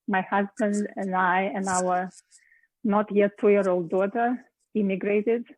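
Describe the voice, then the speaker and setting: A woman's voice: mid-range voice, dry recording, narrow, tinny audio. Woman, dry close sound. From Latvia. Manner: conversational, with hesitations. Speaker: cooking show host. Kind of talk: casual personal account.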